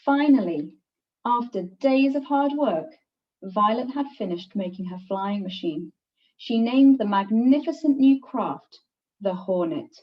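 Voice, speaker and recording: Lively woman reading a storybook, slightly distant. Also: fairly high pitch. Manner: expressive, deliberate storytelling for young children. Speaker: teacher reading a children's story aloud. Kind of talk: scripted picture-book narration. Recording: distant, slightly dull microphone.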